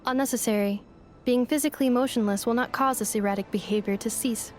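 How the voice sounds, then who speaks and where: A woman's voice: a little high, fast talker, a little mellow, slight background noise, lightly acted. Woman acting as composed analytical female robot. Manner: precise, formal, clinical phrasing. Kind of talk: video game voice acting.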